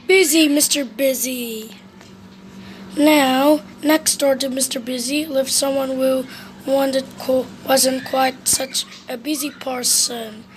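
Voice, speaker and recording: A woman reading a children's storybook aloud, with occasional halting slips. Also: high voice, slight background noise. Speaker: storybook reader.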